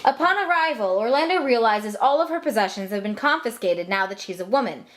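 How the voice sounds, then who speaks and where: A woman's voice: fairly high pitch, expressive, brisk, a bit bright, a little hiss or hum. A young woman narrating a comic scripted story in a flippant, casual manner.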